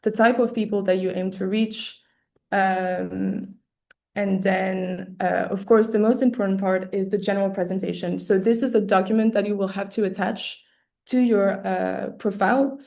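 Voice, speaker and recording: A woman with a mellow, slightly hoarse voice, losing it as she talks. She hosts a webinar over a computer mic, hesitating on fillers and restarting phrases. She keeps a practical, explaining tone. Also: medium pitch, steady tone, moderate pace. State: losing her voice, clearing her throat often. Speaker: webinar presenter for an arts award. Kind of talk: explaining an application process. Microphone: computer mic on a video call.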